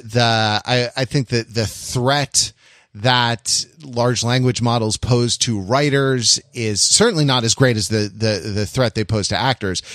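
An American man co-hosting a podcast, talking casually in an opinionated, halting, rambling way. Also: medium pitch, a bit bright, dry recording, clean studio sound. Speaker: podcast co-host. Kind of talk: casual discussion.

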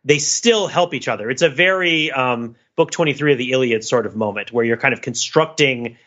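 Bright-voiced man in rambling analytical chat. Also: medium pitch, brisk, highs cut off. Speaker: podcast co-host. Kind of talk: casual film discussion.

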